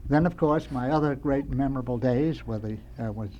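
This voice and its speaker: An older American man, a veteran radio sound effects man, tells nostalgic anecdotes as a studio guest in a rambling, halting way. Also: mid-range voice, dark tone, lo-fi sound.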